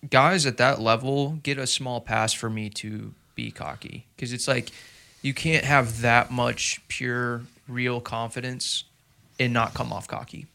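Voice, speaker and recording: A man's voice: medium pitch, steady tone, a little slow, vocal fry, bright, forceful tone. A man co-hosting a podcast, chatting casually about fights in a blunt, profane way that talks over the others. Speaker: podcast co-host.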